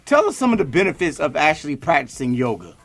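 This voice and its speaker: A man's voice: a little high, sing-song, quick pace, creaky, fairly bright tone, faint music behind. A man hosting a casual talk show, putting questions informally and stumbling over his words. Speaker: host. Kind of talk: casual interviewing.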